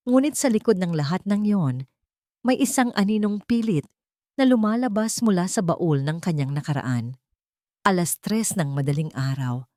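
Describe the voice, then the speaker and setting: A woman narrates a dramatic story in a fairly low voice. Her delivery is scripted storytelling, and the recording is dry with little room sound. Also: darkish tone, no echo.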